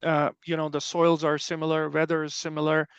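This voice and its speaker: Gravelly Pakistani-accented man, slightly muffled. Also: on the high side, completely flat delivery, a little fast, dry recording. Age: middle-aged. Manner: explanatory, conversational asides. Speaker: extension soil health specialist, presenter. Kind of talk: informal technical presentation. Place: online webinar call.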